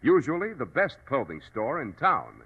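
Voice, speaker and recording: A man's voice: mid-range voice, huge pitch swings, moderate pace, over loud music. An American man working as a radio announcer, delivering scripted sponsor copy in an emphatic, polished 1940s style. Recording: dull old radio broadcast recording.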